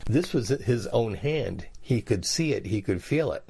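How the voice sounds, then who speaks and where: A man's voice: fairly low pitch, lively intonation, a little slow, creaky, dark tone, breathy edge. A man reading scripture aloud and explaining it in plain, everyday words as a Bible teacher. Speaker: preacher.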